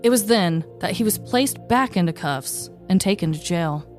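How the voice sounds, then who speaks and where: A woman's voice: lowish voice, animated, moderate pace, faint music behind. A woman hosting a podcast, moving between scripted true-story narration and casual chat.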